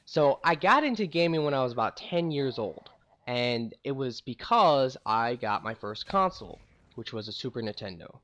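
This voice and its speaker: A man's voice: medium pitch, sing-song, normal speed, fairly bright tone. A young man from St. Louis, a gaming YouTuber, casually telling stories about his life in a rambling, chatty way. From St. Louis, USA. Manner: rambling, conversational, many fillers. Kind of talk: casual storytelling to camera.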